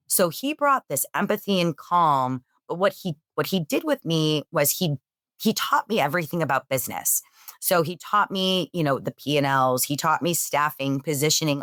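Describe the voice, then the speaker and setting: Low-voiced emphatic woman guest. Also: no echo, clean studio sound. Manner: energetic, emphatic, says she has a loud voice. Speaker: real estate executive guest. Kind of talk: conversational interview answers.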